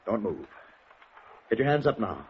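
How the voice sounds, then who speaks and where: An actor playing a desperate, bitter armed killer in a 1950s radio drama, speaking in low, clipped threats. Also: male voice, very animated, normal speed, a little hiss or hum. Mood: menacing, tense. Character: desperate armed murderer cornered, menacing and bitter. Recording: dull, muffled 1950s radio recording.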